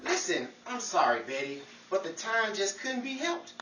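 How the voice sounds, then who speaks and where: A man's voice: high voice, huge pitch swings, moderate pace. A man playing a hapless, wisecracking amateur sleuth in a 1940s-style radio comedy, flustered, stammering and pleading. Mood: breathless, urgent. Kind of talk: 1940s old-time radio comedy acting. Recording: echoey, distant, noisy live room with crowd.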